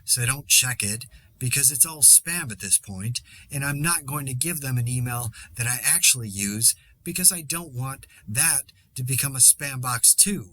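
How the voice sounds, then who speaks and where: A man's voice: mid-range voice, normal speed, bright, forceful tone. A man playing an exasperated podcaster in a zombie outbreak, delivering a dry, sarcastic, rambling solo monologue in a conversational way. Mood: exasperated, ranting. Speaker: podcast host.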